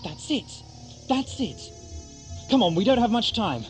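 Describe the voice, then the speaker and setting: An actor playing an eccentric, theatrical time traveller in an audio drama, brisk and self-mocking. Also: male voice, very high voice, big pitch swings, faint music behind, exaggerated voice. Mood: excited, whimsical. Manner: theatrical, expounding, exclamatory. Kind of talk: 1980s amateur audio drama acting.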